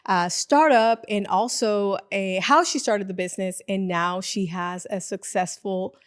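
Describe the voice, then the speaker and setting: A woman's voice: mid-range voice, measured pace, clean tone. A woman hosting a podcast, upbeat and chatty, often reacting with enthusiastic interjections. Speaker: podcast host. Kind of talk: casual interview.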